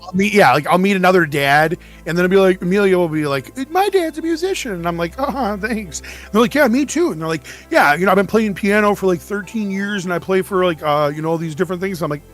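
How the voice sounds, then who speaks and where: A man's voice: high voice, lively intonation, fast, few pauses, fairly bright tone. A man co-hosting a livestream in casual chat, playful and self-mocking, often acting out bits of dialogue. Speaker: livestream co-host. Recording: music playing underneath. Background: music.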